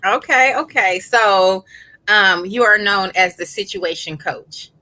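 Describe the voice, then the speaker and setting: A woman's voice: medium pitch, takes it slowly, bright, forceful tone. An American woman hosting a live online interview in a casual, slangy, encouraging way. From Los Angeles, United States. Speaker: host of an online business community show.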